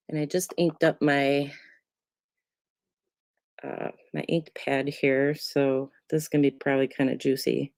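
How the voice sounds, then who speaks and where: A woman with a low voice talks through a card-making demo in a casual, rambling way, filling gaps with ums as she works. She sounds a bit congested from a lingering cold. The recording is close and dry. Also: no room sound. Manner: chatty, relaxed, many ums, talks while working. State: congested, getting over a cold. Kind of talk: casual crafting tutorial.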